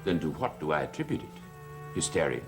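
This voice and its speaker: A man's voice: deep voice, big pitch swings, a little fast, creaky, heavily acted. An actor playing a suave, cruel stepfather in a 1970s TV soap opera, sardonic and mocking. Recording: music score behind voice.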